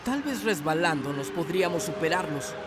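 A determined young cartoon striker voiced by a man, earnest and high in pitch. Loud music plays under him. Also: expressive, normal speed, close to an everyday voice. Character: determined young male star footballer. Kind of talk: cartoon acting.

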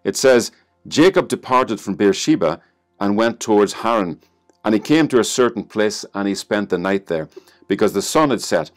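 Middle-aged Irish man, pastor, low voice, earnest, home webcam, faint music behind. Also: expressive, normal speed. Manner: earnest, builds to emphatic exclamations. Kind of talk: online sermon with scripture reading. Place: living room at home. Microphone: webcam at desk distance.

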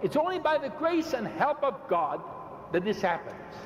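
A man's voice: extremely high pitch, very animated, normal speed, a little hiss or hum. An older man from Ireland preaching emphatically to a large audience, building repeated phrases and gesturing as he moves around a podium. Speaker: bishop debating in a public debate. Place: large indoor arena stage. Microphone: podium microphone, speaker often stepping away. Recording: echoey arena with long reverb.

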